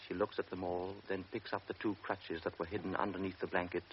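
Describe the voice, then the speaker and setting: A man narrating a radio drama in a measured, present-tense storytelling manner. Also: low-pitched, flat delivery, slightly rough. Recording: dull old radio recording with faint hiss.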